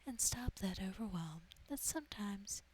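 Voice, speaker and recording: A woman guiding an ASMR meditation in a breathy, whispery, soft-spoken voice, soothing and gentle. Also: medium pitch, lively intonation, moderate pace, slight background noise, low-quality recording. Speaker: meditation guide, ASMR host.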